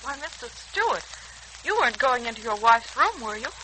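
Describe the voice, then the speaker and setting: An actress playing a dutiful young nurse, formal and firm, in a 1940s-style radio serial. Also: female voice, fairly high pitch, very animated, brisk. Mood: polite, firm. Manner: formal, polite but firm. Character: dutiful, steadfast young nurse standing her ground. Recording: old radio recording, faint hiss.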